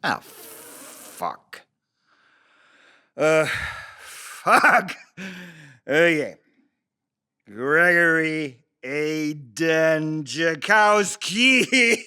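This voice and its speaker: A man playing a crude, bitter, drunken father making excuses in a halting, rambling table-read monologue. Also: fairly high pitch, expressive, pushed and bright, smooth, exaggerated voice. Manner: slurred, halting drunken delivery with rambling asides.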